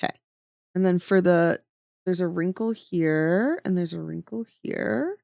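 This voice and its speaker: A woman's voice: on the low side, a little slow, gravelly, mellow, dry recording, studio quality. A woman streamer chatting casually with viewers while she draws, often trailing off mid-sentence as she works. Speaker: streamer drawing emotes. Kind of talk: casual chat with chat while drawing.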